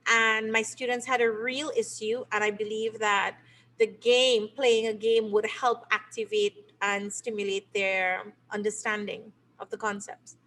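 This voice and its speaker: A middle-aged woman from Trinidad and Tobago, a teacher and game creator, explaining her work in an interview. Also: a little high. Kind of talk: interview answers.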